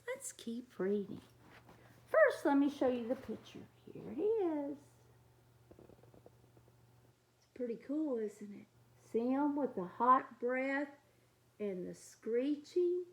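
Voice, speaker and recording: A woman with a high voice reads a picture book to young children. Her delivery is very slow and full of lively swings, and she steps out of the text to chat straight to the listeners. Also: slight background noise. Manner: expressive, talks directly to young listeners. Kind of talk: animated read-aloud with chatty asides.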